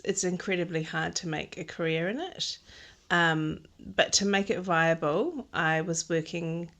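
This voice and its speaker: A woman in her thirties with a low voice. Her speech has a gravelly, creaky edge. She talks about her working life in an unhurried way, pausing on ums as she thinks. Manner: thoughtful, many ums and restarts. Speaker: podcast guest, textile designer. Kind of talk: casual interview conversation.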